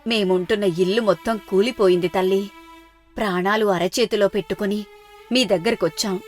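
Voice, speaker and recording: A woman's voice: medium pitch, fairly even intonation, moderate pace, a little mellow, faint music behind, close to an everyday voice. A woman voicing a distressed older aunt, an earnest wife who pleads and coaxes plaintively in a moral story. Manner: plaintive, coaxing. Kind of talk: moral story character dialogue.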